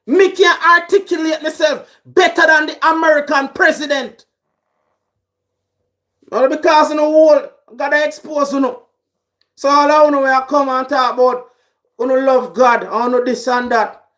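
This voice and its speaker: A man with a Jamaican accent, shouting through an angry political rant. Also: very high-pitched, steady tone, moderate pace. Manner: shouting, raging.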